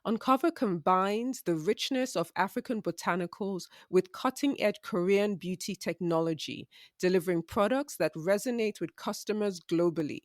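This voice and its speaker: A woman hosting a podcast, reading a scripted introduction and then asking interview questions in a measured way. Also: medium pitch, no echo, studio quality. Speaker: podcast host.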